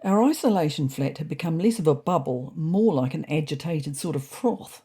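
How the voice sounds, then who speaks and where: A woman narrating a storybook diary as a fussy, indignant male house cat, in a wry, prim, deadpan manner. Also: sits low, normal speed, breathy edge, full character voice. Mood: wry, worried. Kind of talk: first-person storybook narration.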